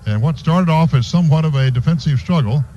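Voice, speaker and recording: A man's voice: on the high side, fairly quick, mellow, lo-fi sound, a little hiss or hum. A man calling football play-by-play and reading sponsor spots on a radio broadcast from a stadium booth. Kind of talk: live sports commentary with sponsor reads.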